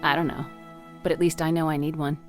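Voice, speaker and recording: Woman playing a frank, lowish-voiced young fisherwoman. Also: flat delivery, fast talker, loud music behind the voice, lightly acted. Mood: earnest. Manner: teasing, blunt, searching. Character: frank, curious village fisherwoman yearning for adventure. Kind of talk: audio drama acting.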